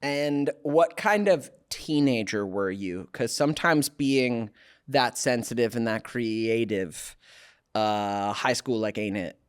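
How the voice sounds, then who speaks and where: Relaxed joking male podcast host. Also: medium pitch, slow, clear, resonant tone. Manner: relaxed, joking, trailing off into soft sincere asides. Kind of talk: casual interview chat.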